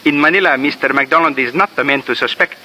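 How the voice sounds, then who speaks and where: An actor playing a cautious, deferential man in a 1950s radio drama, speaking in stiff, formal, measured phrases. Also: mid-range voice, pushed and bright. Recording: noisy vintage radio recording.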